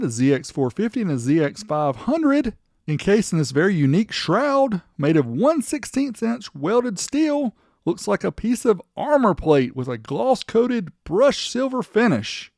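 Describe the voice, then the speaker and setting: A man's voice: high-pitched, darkish tone, dry recording, studio quality. A man narrating a product showcase as the host, in a chatty, informal way. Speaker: host of a vintage car audio video channel.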